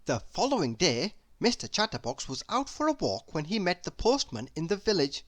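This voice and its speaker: A woman reads a children's story aloud as narrator. Her voice sits low, and her pitch moves dramatically for expressive storytelling. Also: moderate pace.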